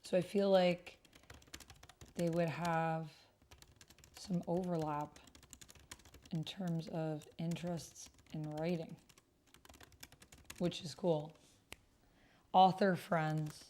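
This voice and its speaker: A woman's voice: low voice, fairly even intonation, very slow, pauses often, mellow. A woman streamer hosting a casual livestream, informal and gushing, often half talking to herself as she types and reads messages aloud. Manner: murmurs to self while typing and reading messages aloud. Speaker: streamer and host. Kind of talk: casual livestream chat while playing a text roleplay game. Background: computer keyboard typing.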